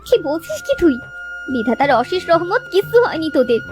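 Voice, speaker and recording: A woman's voice: very high-pitched, big pitch swings, a little fast, faint music behind. A woman playing a caring, fretful village mother and wife in a Bangla cartoon.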